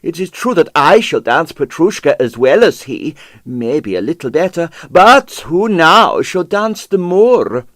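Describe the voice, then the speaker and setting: A male narrator puts on a preening Russian ballet dancer from a storybook. He fawns and gushes theatrically, admiring himself as he goes, and his pitch leaps about in a very dry recording. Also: big pitch swings, measured pace, few pauses, fairly bright tone, no room sound. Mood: gushing, vain. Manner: effusive, theatrical, self-regarding. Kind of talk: acted audiobook character.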